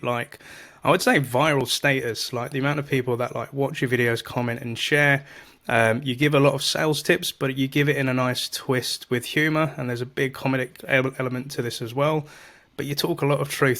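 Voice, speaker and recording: A man's voice: medium pitch, fairly bright tone. A man hosting a casual podcast interview over a video call, enthusiastic and chatty. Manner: enthusiastic, chatty, laughs often. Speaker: podcast host.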